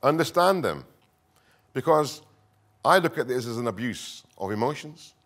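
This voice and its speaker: Earnest man giving a talk. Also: medium pitch. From northern England. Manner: earnest, emphatic repetition, deliberate short phrases. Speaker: conference speaker, counter-extremism expert. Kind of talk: conference presentation, semi-improvised public speaking.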